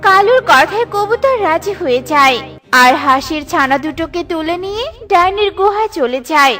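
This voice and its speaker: A woman narrates a children's cartoon as a storyteller. Her scripted delivery has a bright tone, and faint music plays under it. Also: extremely high pitch, lively intonation, moderate pace.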